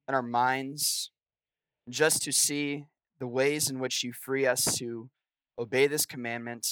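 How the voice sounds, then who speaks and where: A man's voice: mid-range voice, a bit bright, no echo. A young man with a scratchy voice from a cold, preaching a sermon to a congregation as guest preacher.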